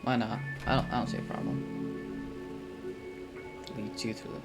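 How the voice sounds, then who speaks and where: A man's voice: lowish voice, little pitch movement, normal speed, vocal fry. A young man casually reacting to a game as a streamer, slangy and sweary, with muttered asides. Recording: loud game music behind the voice. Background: game music.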